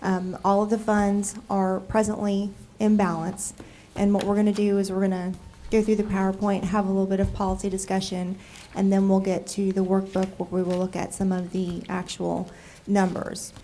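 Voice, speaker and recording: A woman with a cold, nasal and froggy, presenting a city budget to council in a measured way with frequent ums. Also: mid-range voice, level tone, dark tone, a little hiss or hum. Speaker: city deputy budget director. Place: council chamber.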